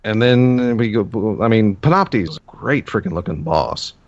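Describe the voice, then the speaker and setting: Low-voiced male podcast co-host, rambling and casual, dry lo-fi mic. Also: animated, normal speed, no echo. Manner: rambling, many fillers and restarts. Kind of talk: casual gaming podcast chat.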